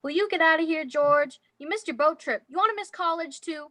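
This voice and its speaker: A woman acts an excitable office cousin in 1940s radio drama style. She is bossy and exclamatory, high in pitch, recorded very dry. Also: brisk, dry recording. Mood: excited.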